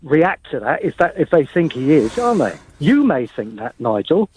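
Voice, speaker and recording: A man calling in to a radio show, speaking in a hesitant, halting way. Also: fairly high pitch, sing-song, darkish tone, slight background noise. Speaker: caller. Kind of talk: phone-in call. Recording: dull phone line.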